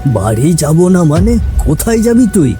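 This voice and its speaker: A man's voice: fairly high pitch, very animated, moderate pace, music in the background, voice pushed up. A man voicing a kindly, chatty older ox-cart driver in a village cartoon story, folksy and conversational. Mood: frightened, stammering.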